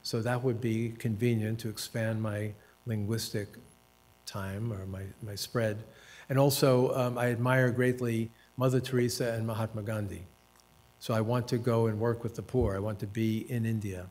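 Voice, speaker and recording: A man in his seventies from the United States, an author and professor telling stories of his life at a panel talk, unhurried and self-deprecating, with dry humor and hesitant fillers. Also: fairly low pitch, fairly even intonation, darkish tone. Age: 70s. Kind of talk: reflective personal storytelling at a panel talk.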